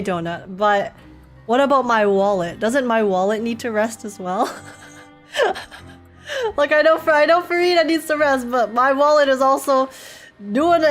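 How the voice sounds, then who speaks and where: A young woman livestreaming a game, chatting casually with viewers in a bubbly, giggly, chatty way. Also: sits high. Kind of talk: casual livestream chat, reading and answering viewer comments. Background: game music.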